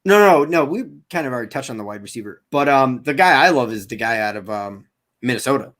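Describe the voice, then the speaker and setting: A man hosting a casual sports livestream, talking in an animated, searching way. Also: mid-range voice, fast. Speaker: sports channel host.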